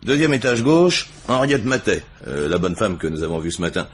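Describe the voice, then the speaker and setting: A man's voice: on the low side, sing-song, normal speed, breathy edge. An actor playing a diligent, chatty police inspector in a French radio crime drama, reporting to his superior with informal hesitations and wry asides.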